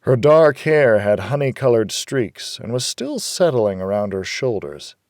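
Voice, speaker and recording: A man's voice: mid-range voice, sing-song, unhurried, darkish tone, no room sound, studio quality. A man narrating a hardboiled noir audiobook in the first person, wry and world-weary.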